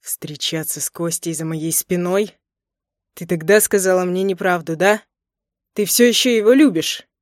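A woman's voice: on the low side, steady tone, moderate pace, a little mellow, no room sound, studio quality, natural voice. A woman voicing an angry, possessive young husband with a biting, ironic manner in an audiobook story. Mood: jealous anger. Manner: biting, sarcastic, growling delivery.

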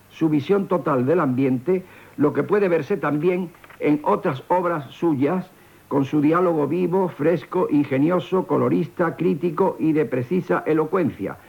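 Thin-sounding, creaky-voiced man. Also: a little high. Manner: formal, erudite. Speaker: theatre critic giving a radio introduction. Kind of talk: scripted literary commentary. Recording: thin phone-like 1970s radio sound.

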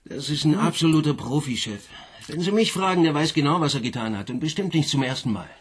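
A man's voice: on the high side, lively intonation, fast, slightly rough. An actor playing a junior police detective in a radio crime drama, eager and deferential, chatty with his boss.

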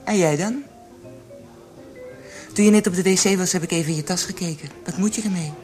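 An actress playing a blunt, cynical young bar prostitute in a radio play. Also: female voice, fairly low pitch, brisk, a little mellow, soft background music, close to an everyday voice. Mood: teasing. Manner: teasing, blunt.

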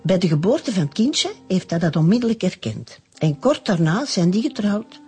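An actress playing an old nun in a radio drama, telling stories in a gossipy, unrepentant and contented way. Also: female voice, on the low side, big pitch swings, normal speed, mellow, husky, soft background music, heavily acted. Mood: reminiscing, self-satisfied. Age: older.

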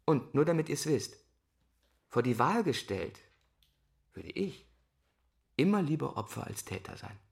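An idealistic male teacher in a German radio drama. His voice sits at a middle pitch with plenty of gravelly fry. He talks deliberately, earnest and principled, with a dry, guarded edge. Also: sing-song, exaggerated voice. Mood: defiant, lecturing. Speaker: school teacher.

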